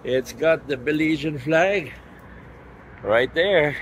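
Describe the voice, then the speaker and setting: A man's voice: fairly high pitch, slow pace. A middle-aged man doing casual walk-around vlog narration, addressing viewers directly as he points out what is around him. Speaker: travel vlogger. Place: outdoors on city streets and parking lots. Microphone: phone or camera at arm's length. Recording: outdoor street and vehicle noise.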